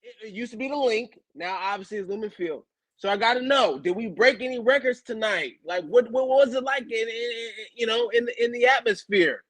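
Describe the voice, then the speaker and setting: A man co-hosting a casual podcast as a former player, telling stories in an animated, slangy way and often repeating himself. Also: very high-pitched, fairly quick, no room sound. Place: home studio, video call. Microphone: video call setup.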